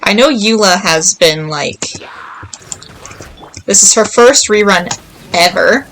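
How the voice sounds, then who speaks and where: A woman's voice: medium pitch, lively intonation, slow, fairly bright tone, slight background noise, low-quality recording. A woman chatting casually as she streams a game, rambling and thinking aloud. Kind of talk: casual livestream chat while playing.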